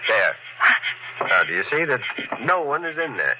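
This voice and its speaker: Heavy noise and a dull band surround this vintage radio drama voice. An actor plays a stern, rational male doctor who takes charge, firm and impatient, his mid-pitched voice moving widely up and down. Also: big pitch swings, normal speed, natural voice. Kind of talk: 1940s radio thriller acting. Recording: noisy, muffled 1940s radio recording.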